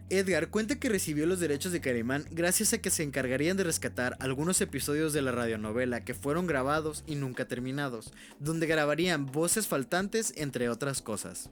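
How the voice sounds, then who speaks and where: A man reading an informal documentary voice-over, candid and open about what is uncertain. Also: on the high side. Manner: candid, openly unsure of facts. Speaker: video essay creator and narrator. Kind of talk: informal scripted documentary narration.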